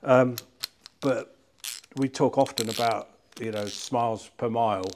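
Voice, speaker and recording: A man's voice: mid-range voice, steady tone, measured pace, darkish tone. Middle-aged British male, hands-on car enthusiast presenting a vlog; informal, self-deprecating, chatty delivery. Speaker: car collector and restorer presenting a channel. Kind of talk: casual chat while working on a car. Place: large workshop barn, indoors.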